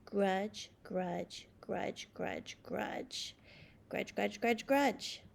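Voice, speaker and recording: A woman's voice: medium pitch, extremely slow, gravelly, slight background noise, voice pushed down, exaggerated voice. Woman playing a snappy, grudge-holding sour grape. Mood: bitter, brooding. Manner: scowling, snappy complaining. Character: sour, grumpy grape nursing petty grudges. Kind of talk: children's storybook read-aloud.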